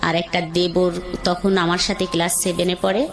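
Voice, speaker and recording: An adult woman, calling in to tell a radio host her life story in a halting, reflective way with frequent restarts. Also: lowish voice, flat delivery. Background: soft background music.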